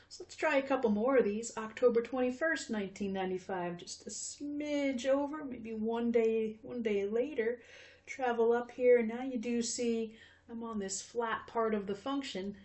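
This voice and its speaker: A woman's voice: on the high side, darkish tone. A woman in her fifties teaching math in a recorded video lesson, chatty and friendly, with frequent fillers. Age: 50s.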